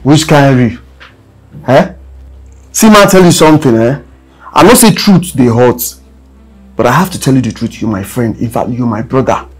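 An actor playing a blunt young friend lecturing in Nigerian Pidgin, with a Nigerian accent. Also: male voice, mid-range voice, normal speed, long pauses, slightly rough, lightly acted, rough recording. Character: blunt young male friend giving hard advice.

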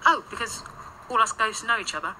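A woman's voice: on the high side, a little fast, sharp tone, slight background noise, rough recording. A woman playing a wry, breezy female paranormal agent in an audio drama, with dry sarcasm.

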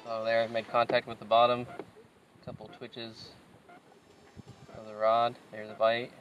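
A man in his thirties with a Pacific Northwest American accent, hosting a fishing video from a kayak and explaining techniques in a relaxed way with plenty of fillers. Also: medium pitch, measured pace, muffled recording. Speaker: fishing show host. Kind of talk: casual instructional commentary. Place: outdoors on a kayak on a lake. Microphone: action camera mounted on the kayak.

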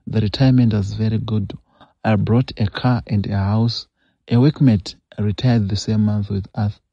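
Gravelly low-voiced Zimbabwean man, earnest. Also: dark tone, no echo. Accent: Zimbabwean English. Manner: earnest and scolding, repeats key phrases. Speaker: host. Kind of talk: reading a confession aloud, then advice. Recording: dull lo-fi dry recording.

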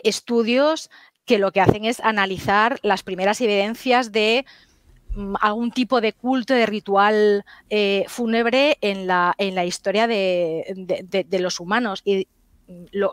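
A woman's voice: mid-range voice, no echo, clean studio sound. Thoughtful Galician woman on headset. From Galicia, Spain. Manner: thoughtful, frequent hesitations and tag questions. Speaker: historian and author guest. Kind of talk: video call interview. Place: home study lined with bookshelves. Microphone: headset on video call.

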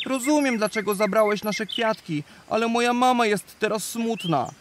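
Actor as a curious young bunny, mid pitch, noisy track. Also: moderate pace, natural voice. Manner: curious, eager. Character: small young male bunny. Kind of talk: children's radio play acting. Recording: noisy background.

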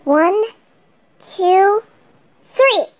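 A woman voicing a playful, high-spirited young woman prankster in a fan story read aloud. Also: animated, very slow, darkish tone, exaggerated voice. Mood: excited, mischievous. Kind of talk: fan fiction character voice.

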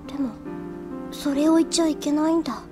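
A woman voices a devoted, shy teenage schoolgirl in an anime audio drama. Her high voice is earnest and reflective, with a hesitant sincerity, over clearly audible music. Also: fairly even intonation, brisk, natural voice. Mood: concerned. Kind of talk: Japanese anime audio drama acting with inner monologue.

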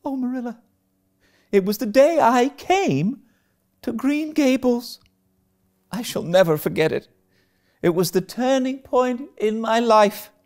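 A man's voice: extremely high pitch, animated, takes it slowly, long pauses, a little mellow, voice pushed up, exaggerated voice. A man voicing an eager, imaginative young girl in a storybook, breathless, pleading and melodramatic. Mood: happy, dreamy. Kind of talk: storybook character reading.

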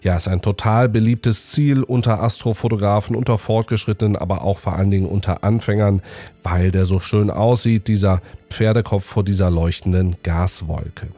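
A middle-aged man with a cold, sounding hoarse and nasal, hosting a casual astrophotography sky-preview video from his home study. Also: lowish voice, moderate pace, faint music behind. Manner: lively, animated presenting. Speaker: astrophotography channel host. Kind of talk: casual presenting, sky preview and prize draw.

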